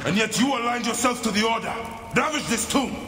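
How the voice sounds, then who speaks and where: Stern avenging warrior, wide-ranging voice. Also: male voice, very high voice, expressive, a little fast, bright, forceful tone, exaggerated voice. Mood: accusing. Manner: grave, terse, solemn. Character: stern avenging warrior, grieving father hunting his enemy. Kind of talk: dramatic video game acting.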